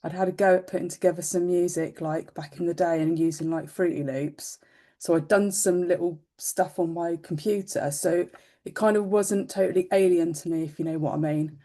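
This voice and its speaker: A woman's voice: lowish voice, fairly even intonation, normal speed, mellow. A woman co-hosting a podcast in casual chat, speaking in an informal, modest and agreeable way. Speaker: podcast co-host.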